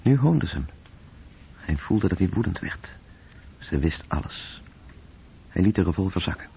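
A man narrating a literary radio drama in terse, measured phrases, with a gravelly, breathy voice. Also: sits low, very animated. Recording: muffled, tinny old radio-play recording.

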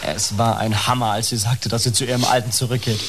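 A man's voice: medium pitch, level tone, quick pace, background noise, natural voice. An actor playing a needy, emotionally fragile sixteen-year-old boy full of adoration, in a naturalistic radio play. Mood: starstruck, excited.

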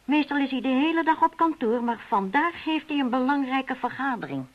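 Husky, animated female assistant. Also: high voice, brisk. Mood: polite, weary. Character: tired but determined female assistant in an investigation. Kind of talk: radio drama acting. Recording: dull old radio recording.

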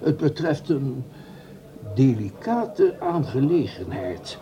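A man acting in a radio play as a smooth, scheming gentleman doctor who speaks formally and courteously, with sly pauses. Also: mid-range voice, big pitch swings, highs cut off, crowd noise.

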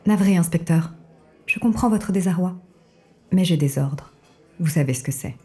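Woman playing secret resistance agent lady, low really dark soft-edged voice, formal n cool, noir audio drama, faint music. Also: normal speed, lightly acted. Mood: cool, composed. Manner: formal, polite, composed, commanding. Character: mysterious female resistance agent guiding the inspector, coolly composed.

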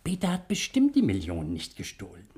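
A man's voice: low-pitched, huge pitch swings, fairly quick, darkish tone. An actor playing a kindly, fatherly older uncle in a German radio drama, soothing and courteous. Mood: gentle, reassuring. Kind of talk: 1960s German radio play acting.

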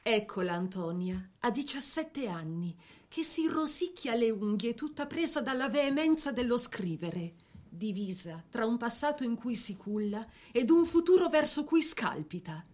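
A woman delivers scripted biographical narration as an expressive storyteller. Her voice is on the high side and very animated in pitch, while the phrasing stays measured and theatrical.

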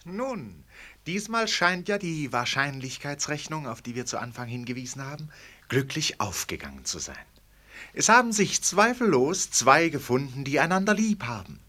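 An actor playing an urbane, ironic storyteller in a literary radio play, with a theatrical manner. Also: male voice, a little high, sing-song, moderate pace, sharp tone, exaggerated voice. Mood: wry, musing. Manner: theatrical, wry, rhetorical questions. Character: urbane, ironic male storyteller who banters with the characters. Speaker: narrator.

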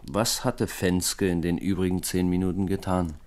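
An actor playing a calm, methodical police investigator in a 1970s East German radio crime drama, terse and matter-of-fact. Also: male voice, sits low, normal speed, close to an everyday voice. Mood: sober, pensive.

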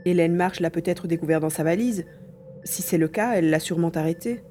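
A woman's voice: lowish voice, a little fast, warm tone, soft background music, lightly acted. A woman acting a hesitant, earnest young boy adventurer in an audio drama. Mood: puzzled, questioning. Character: hesitant young boy adventurer grieving his lost father.

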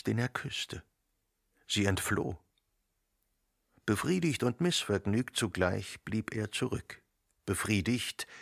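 A man reading literary narration for a radio drama in a measured storytelling manner. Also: on the low side, gravelly, fairly bright tone, no echo, clean studio sound. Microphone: close studio microphone.

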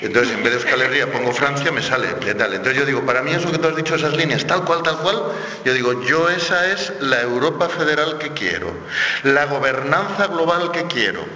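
A man from Spain speaking on a debate panel in an animated, colloquial, emphatic way. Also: medium pitch, a little fast, a little hiss or hum. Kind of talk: round-table debate. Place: theatre school hall. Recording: echoey hall, dull sound.

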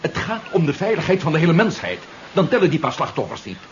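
An actor playing a ruthless hard-line fighter in a 1970s radio drama, forceful and insistent. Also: male voice, fairly high pitch, very animated, fast talker, a little hiss or hum. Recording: muffled radio recording, voices behind.

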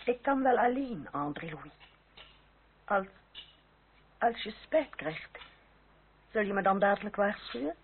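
Older noble lady, imploring, wistful, halting, radio play, thin phone-like sound, bit of hiss. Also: medium pitch, big pitch swings. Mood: pleading. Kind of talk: period radio play acting. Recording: tinny narrowband old radio drama, faint noise.

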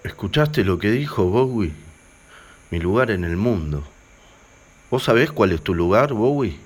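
A man's voice: lowish voice, animated, measured pace. An actor with an Argentine accent playing a lost, dreamy, infatuated young man in a radio drama. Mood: musing. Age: young adult.

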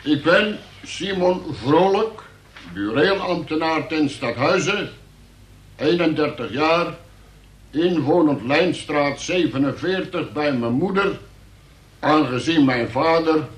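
An actor playing a dutiful police sergeant who formally and haltingly reads out a report in a radio play. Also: male voice, a little high, lively intonation. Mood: reciting. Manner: formal, officious, halting delivery of official report. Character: dutiful police sergeant reading out a suspect's confession. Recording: roomy, echoing radio play recording.

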